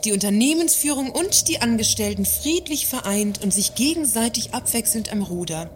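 A woman's voice: a little high, expressive, moderate pace, a little hiss or hum, close to an everyday voice. A woman playing a combative, sarcastic works council representative in a satirical radio play, articulate and needling.

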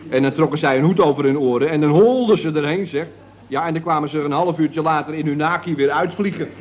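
Male actor as slangy, cheeky young workmate spinning tall tales for radio comedy; lightish pitch, old recording with faint noise. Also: muffled recording, natural voice. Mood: cheeky, amused. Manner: slangy, drawn-out storytelling with tag words. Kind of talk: radio comedy serial acting.

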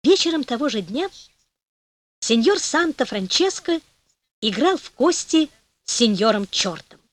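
A woman narrating a folk fairy tale for an audiobook with expressive storytelling. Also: high-pitched, measured pace, no echo. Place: recording studio.

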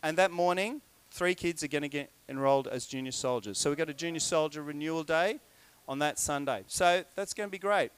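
A man's voice: a little high, a little fast, clear, resonant tone. A man leading a church service as pastor, giving chatty, jokey announcements and a prayer. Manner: jokey, chatty, breaks off into asides.

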